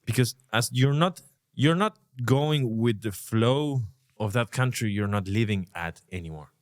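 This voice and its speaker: A man's voice: medium pitch, a little slow, dry recording, clean studio sound. A man from Uruguay hosting a podcast, talking in a reflective, rambling way and swearing casually. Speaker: podcast host.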